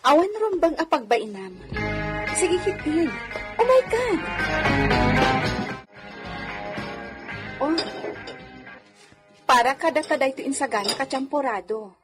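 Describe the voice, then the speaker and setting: A woman's voice: high-pitched, sing-song, moderate pace, pauses often, music in the background. A woman playing a kind, humble young wealthy lady, speaking graciously in an Ilocano radio drama. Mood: warm, inviting.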